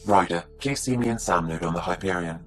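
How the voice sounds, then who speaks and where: Man acting deep, brisk artificial intelligence. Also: faint music behind, natural voice. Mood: calm, formal. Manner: clipped, matter-of-fact. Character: calm, formal male artificial intelligence aide. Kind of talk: video game acting.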